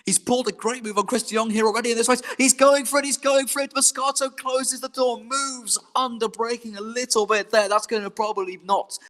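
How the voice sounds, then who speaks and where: High-voiced excitable male race commentator. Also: fairly quick, hardly any pauses. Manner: excitable, rising to shouts in tense moments. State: hoarse, losing his voice. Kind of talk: sim racing commentary.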